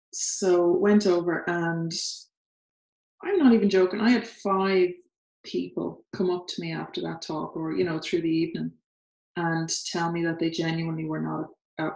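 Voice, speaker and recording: A woman's voice: low voice, creaky, darkish tone. A woman in her thirties with an Irish accent, talking earnestly in a casual chat at home. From Ireland. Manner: earnest, thoughtful, laughs between points. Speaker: co-host. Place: home sitting room. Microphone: far camera. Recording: roomy echo in living room.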